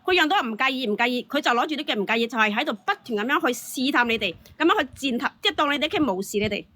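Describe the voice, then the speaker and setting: Woman panelist. High-pitched and rapid, emphatic scolding in a heated advice debate. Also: expressive, bright, forceful tone, no room sound.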